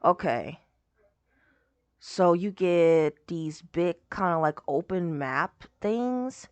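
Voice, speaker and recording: Hoarse young woman, low rambling voice. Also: animated, drawn-out words, a little mellow, no echo. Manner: rambling, lots of "like" and trailing off. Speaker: YouTuber reacting to a game showcase. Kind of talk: casual reaction commentary.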